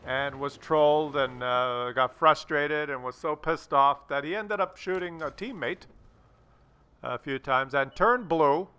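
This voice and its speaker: A man's voice: on the high side, measured pace, bright, forceful tone, clear, resonant tone. A man giving casual, rambling video game commentary in a conversational, mildly sardonic way. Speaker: gameplay commentator.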